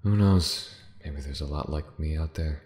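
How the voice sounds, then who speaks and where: A man voicing a proud, lonely dragon in an ASMR fantasy roleplay, speaking formally and musingly with dramatic pauses and hushed drops. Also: roomy sound. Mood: hesitant, resigned. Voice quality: very deep, creaky. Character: lonely, proud male dragon, last survivor, wistful.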